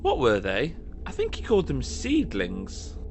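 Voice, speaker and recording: A man's voice: mid-range voice, wildly swooping pitch, unhurried, over music. A man playing an earnest, caring companion in a space adventure audio drama, talking casually. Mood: curious. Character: earnest, kind-hearted male space-travel companion, caring and eager.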